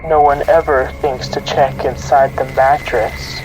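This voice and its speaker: A man's voice: medium pitch, flat delivery, unhurried, lightly acted, low-quality recording. Sinister gloating male intruder, acted by a man, music behind. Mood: gloating menace. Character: menacing hidden male intruder taunting victim in recorded message. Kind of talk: horror audio drama voice message.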